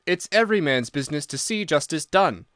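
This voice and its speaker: A man voicing a calm, precise detective in an audiobook, measured and assured. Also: fairly high pitch, dry recording, clean studio sound. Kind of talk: audiobook character reading.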